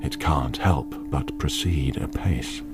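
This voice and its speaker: A deep-voiced storyteller narrates a drama solemnly. His delivery is measured and literary, with piano music clearly playing behind him. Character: grave, literary male storyteller.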